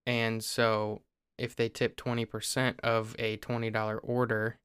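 A young man from Ohio talks through a topic in a relaxed, explanatory way, backing up to restart a phrase now and then. His voice is smooth and resonant but stays flat in pitch. The sound is dry and close. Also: measured pace, no room sound. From Ohio, USA. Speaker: podcast host. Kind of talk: casual explanatory chat.

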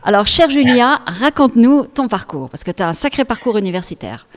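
A woman hosting an interview, asking brisk, lively questions. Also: a little high. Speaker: host.

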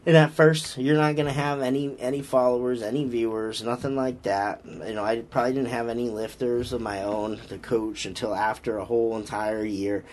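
A man's voice: medium pitch, fairly even intonation, moderate pace, few pauses, darkish tone, slight background noise. A man with a Boston accent, a strength coach chatting casually on a podcast, rambling with lots of fillers and restarts. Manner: rambling, frequent fillers and restarts, upbeat about lifting.

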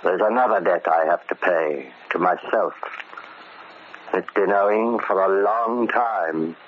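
Deep-voiced, grimly resolute convict. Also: male voice. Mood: brooding, determined. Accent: American. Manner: grim, deliberate, stubborn. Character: bitter, resolute 44-year-old convict bent on settling a debt. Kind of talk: old-time radio drama acting. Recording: dull, noisy old radio recording.

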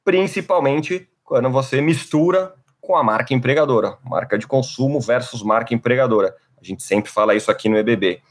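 A man's voice: medium pitch, fairly quick, few pauses. A man with a Brazilian Portuguese accent hosting a podcast alone, upbeat and playful in a casual chat. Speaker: podcast host.

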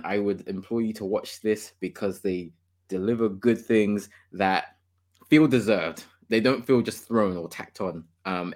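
Enthusiastic man hosting a podcast. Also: lowish voice. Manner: enthusiastic, opinionated, laughs often. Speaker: podcast host. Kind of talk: casual gaming podcast chat.

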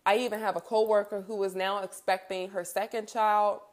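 A woman's voice: mid-range voice, level tone, normal speed. Candid thirty-year-old woman chatting casually. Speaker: podcast host. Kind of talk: candid personal monologue, casual chat.

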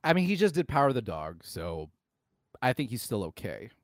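A man co-hosting a podcast, chatting casually about films in an animated, slangy, argumentative way. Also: mid-range voice, fairly quick, no echo, clean studio sound. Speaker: podcast co-host. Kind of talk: casual film chat.

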